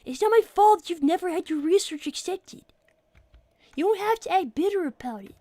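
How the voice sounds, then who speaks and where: A woman's voice: extremely high pitch, lively intonation, normal speed, mellow, no echo, clean studio sound. A woman playing a smug, precocious young cartoon fox boy co-hosting an in-character livestream with matter-of-fact, needling chat. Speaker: livestream co-host.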